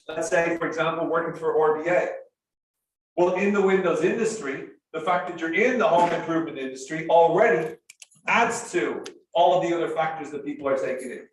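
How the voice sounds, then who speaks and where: An Irish man with a fairly high voice coaches a room of salespeople, explaining points with energy and letting phrases fall away softly. He is heard from some distance in a big room full of echo. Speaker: sales trainer. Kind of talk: live training talk. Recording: roomy echo, speaker off-mic.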